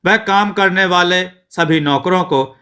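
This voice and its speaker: A male storyteller plays a faithful old shop servant in a moral tale. He speaks respectfully and earnestly, in a slightly high, bright voice. His explanation comes slowly and deliberately. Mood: earnest, revealing.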